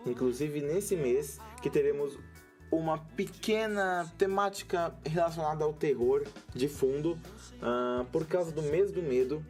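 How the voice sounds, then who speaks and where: A Brazilian man hosts a podcast with a mid-pitched voice. He reads scripted narration to his listeners, with faint music playing behind him. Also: mellow. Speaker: podcast host and creator.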